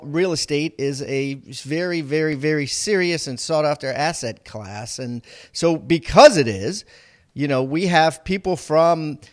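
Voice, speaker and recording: Podcast host man, slightly high voice. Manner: conversational, many fillers. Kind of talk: podcast interview.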